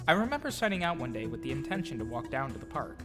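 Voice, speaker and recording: A man's voice: medium pitch, very animated, fast, long reverb tail. A man reading a scripted personal story in a reflective storytelling manner, like an audio drama narrator. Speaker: narrator and audio drama producer-actor telling own story. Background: clear music.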